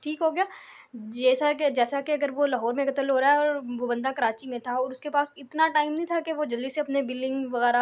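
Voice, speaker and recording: High-pitched Pakistani woman giving a lecture. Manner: repeats a check phrase after points. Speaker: law lecturer. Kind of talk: instructional lecture, explaining and reading out notes.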